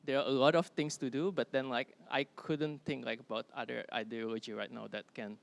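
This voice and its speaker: A young man speaking on an art panel in a medium-pitched voice. He searches for his words as he goes, with many fillers along the way. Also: fairly even intonation, a little fast, creaky. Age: young adult. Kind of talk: panel discussion.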